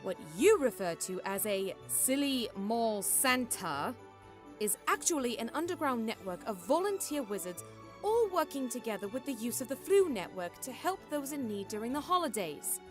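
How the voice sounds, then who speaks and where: A woman playing a brisk, bossy young witch in a radio drama, explaining things emphatically. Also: a little high, big pitch swings, soft background music. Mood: explanatory.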